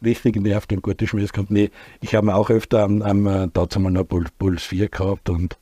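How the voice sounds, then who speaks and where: An Austrian man hosts a talk show in a loose, chatty way, joking and moving things along with questions. His voice is mellow and dark. The recording is close and dry. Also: on the low side, level tone, brisk, slightly rough, no room sound. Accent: Austrian German. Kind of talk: casual talk show hosting.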